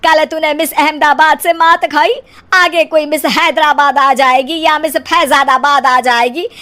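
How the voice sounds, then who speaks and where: Cartoon mother-in-law, female performer: middle-aged, high voice, slow nagging delivery, proud. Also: fairly even intonation, bright, forceful tone.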